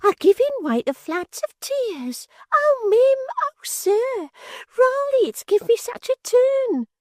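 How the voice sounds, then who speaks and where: A shrill, overwrought young maid. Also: male voice, sing-song, slow, a little mellow, dry recording, studio quality, full character voice. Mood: shrill dismay. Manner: gasping, overwrought. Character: shrill excitable young maidservant.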